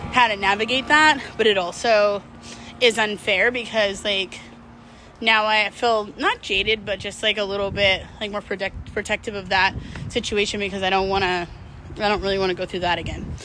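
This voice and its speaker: A woman's voice: medium pitch, pushed and bright, a little hiss or hum, low-quality recording. A middle-aged woman talking casually and unscripted to her viewers, candid and slangy, rambling and drifting mid-thought. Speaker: vlog host.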